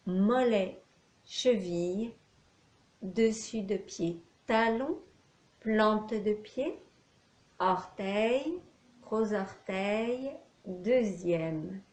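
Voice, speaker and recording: A woman leading a guided yoga nidra relaxation as an instructor, speaking in short instructional phrases with long pauses. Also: mid-range voice, a little mellow. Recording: echoey room, faint background noise.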